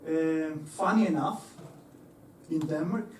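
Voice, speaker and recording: A man's voice: on the high side, takes it slowly, darkish tone, slight background noise. A young man presenting to an audience in a lecture hall, with many fillers and restarts. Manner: hesitant, many fillers and restarts, laughs easily. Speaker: engineer giving a talk. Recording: echoey hall, voice from far off.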